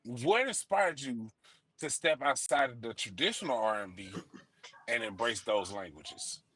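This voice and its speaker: Bright-voiced man asking questions. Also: mid-range voice, lively intonation, unhurried, breathy edge, no echo. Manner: laid-back, conversational filler. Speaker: podcast host. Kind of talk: casual interview questions.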